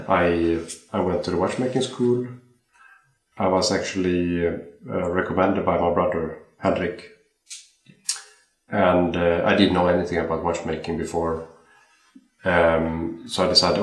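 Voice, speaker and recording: A young man from Sweden talks about his watchmaking career in an easy, halting way, restarting and repeating words as he thinks. His voice sits very low. The sound bounces around a home room. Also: steady tone. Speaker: watchmaker guest. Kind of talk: casual interview chat. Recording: roomy home interview.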